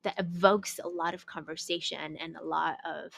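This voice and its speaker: A woman's voice: sits low, steady tone, normal speed, vocal fry, fairly bright tone. A woman hosting a podcast, chatting casually, searching for words and laughing easily. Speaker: podcast host. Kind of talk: casual interview chat.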